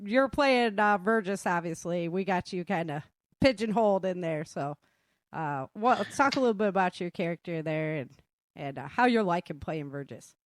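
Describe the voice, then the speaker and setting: A woman's voice: lowish voice, animated, moderate pace, no room sound, clean studio sound. A woman hosting a casual group chat, talking in a chatty, giggly way. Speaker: host and author of an audio drama.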